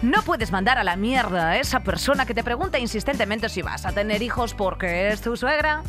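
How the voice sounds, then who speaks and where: A woman's voice: expressive, fairly quick, over music, close to an everyday voice. A woman from the studio playing an emphatic female infomercial announcer in a radio parody, with a Spain accent. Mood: emphatic, salesy. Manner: emphatic sales pitch. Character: over-the-top female infomercial announcer pitching a gadget.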